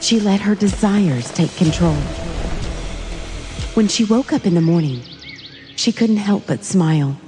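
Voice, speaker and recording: A woman's voice: mid-range voice, expressive, a little slow, pauses often, over music. A woman reading scripted story narration with suspenseful, dramatic phrasing.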